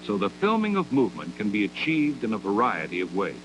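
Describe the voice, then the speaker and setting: A man narrates a scripted 1960s educational film in a measured, didactic manner, with deliberate phrasing, on an old 16mm soundtrack. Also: lowish voice, huge pitch swings, background noise.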